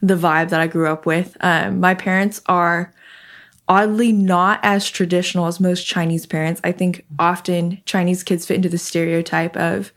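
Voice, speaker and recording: A woman's voice: on the low side, flat delivery, moderate pace. A young woman from the Seattle area, talking reflectively as an interview guest, with frequent ums. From Seattle area, United States. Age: young adult. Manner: reflective, lots of ums and soft laughs. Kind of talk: casual conversation.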